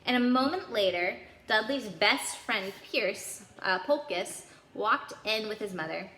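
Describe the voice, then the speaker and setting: Bright woman reading a story aloud. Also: medium pitch, lively intonation, unhurried. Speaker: story-time reader. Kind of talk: expressive read-aloud children's storytelling. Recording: echoey room with faint background noise.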